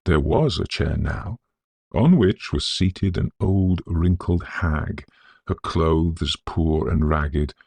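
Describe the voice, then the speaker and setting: A man with an extremely low, creaky voice narrates a gothic tale in a close, dry recording. His delivery is scholarly and measured, full of atmosphere, as in a classic dramatic audiobook. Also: darkish tone, no echo. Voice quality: gravelly, husky. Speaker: narrator of a Victorian ghost story.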